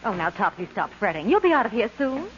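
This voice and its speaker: A woman acts a breezy female spirit in a vintage radio comedy. She is coaxing and self-assured, with animated intonation, on a dull, hissy old recording. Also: mid-range voice, fast talker, natural voice. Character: breezy, coaxing female ghost posing as nurse. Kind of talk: 1940s radio comedy acting.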